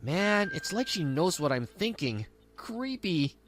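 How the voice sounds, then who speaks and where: A man's voice: sits high, sing-song, slow pace, fairly bright tone, faint music behind, exaggerated voice. A man voicing a careless, cocky and lazy young husband in a manga dub. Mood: spooked. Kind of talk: scripted manga dub dialogue.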